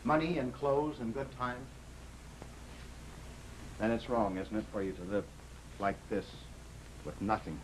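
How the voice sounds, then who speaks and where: A man's voice: on the low side, a little slow, pauses often, natural voice. A man playing a desperate, devoted, downtrodden husband in an old-time radio drama, speaking earnestly and pleadingly. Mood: sad, resolved. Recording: noisy vintage radio recording.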